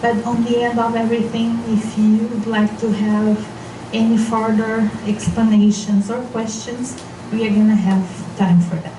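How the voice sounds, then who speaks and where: A woman whose first language is Portuguese, leading a spiritual seminar in English, speaking carefully and gently guiding her listeners. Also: a little high, flat delivery. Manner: slow, careful, gently guiding, with frequent restarts. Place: meeting hall of a spiritist society. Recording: echoey hall, voice from far off, noisy.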